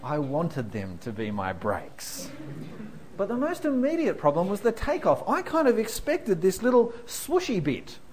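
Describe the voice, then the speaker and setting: A pastor preaches with his voice leaping up and down in pitch, which sits a little high for a man. He tells his own blunders with a laugh before turning to weightier points. Faint background hiss sits under the talk. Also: a little slow. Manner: self-deprecating storyteller, animated, laughing through anecdotes. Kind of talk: sermon with humorous stories.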